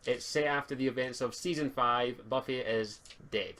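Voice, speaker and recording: A man's voice: mid-range voice, moderate pace, sharp tone. A man chatting casually as game master and host of a tabletop roleplay session over a group voice call. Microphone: computer mic on internet voice call. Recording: noisy home mic on group voice call.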